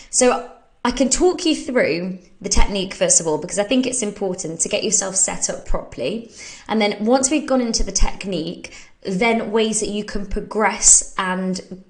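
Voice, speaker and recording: An English woman coaching a live fitness Q&A in a relaxed, chatty way, breaking into laughs as she explains push-up technique. Her voice is slightly bunged up from hay fever. She talks warmly and informally, as if to friends following along at home. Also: mid-range voice. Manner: chatty and upbeat, laughs often. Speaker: fitness coach and podcast host. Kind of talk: casual live Q&A, talking through exercise technique.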